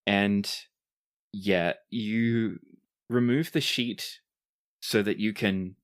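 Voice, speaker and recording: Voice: medium pitch, steady tone, drawn-out words. A game master narrating a tabletop roleplaying game, telling the story in dramatic detail and dropping into casual asides.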